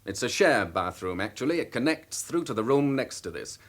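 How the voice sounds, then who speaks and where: A man's voice: mid-range voice, very animated, fairly quick, fairly bright tone. An actor playing a young newlywed businessman in a radio drama, suave and affectionate but guarded. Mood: genial, playful.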